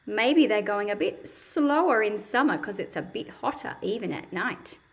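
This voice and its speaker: A woman's voice: medium pitch, lively intonation, normal speed. A woman reading a picture book aloud to young children and explaining it in simple words, in a patient, teacherly manner.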